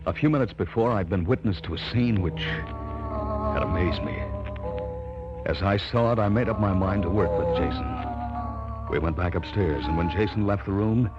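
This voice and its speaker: An earnest scientist's assistant in a vintage radio thriller. His voice is very low and moves expressively as he recounts eerie experiments. The old recording is dull, with music clearly behind him. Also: lively intonation, moderate pace. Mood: grave, narrating. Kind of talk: old-time radio drama acting and narration.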